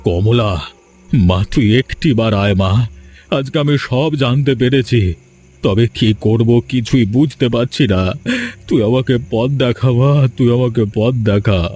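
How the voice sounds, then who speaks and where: A man's voice: medium pitch, slow, darkish tone, husky. A man voicing a commanding village master in a Bengali-style cartoon drama, a grieving father bent on revenge, speaking melodramatically. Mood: anguished, pleading.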